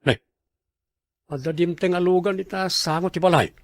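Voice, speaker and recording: An actor with an Ilocano accent playing a cheeky, grumbling husband in a comedy drama. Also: male voice, high voice, normal speed, no room sound. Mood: alarmed. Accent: Ilocano, northern Philippines.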